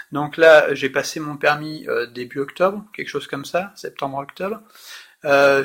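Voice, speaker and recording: In a recorded phone call, a man presses a complaint with exasperated, insistent questions. His voice sits a little high and has a sharp, tense edge. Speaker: caller, customer complaining.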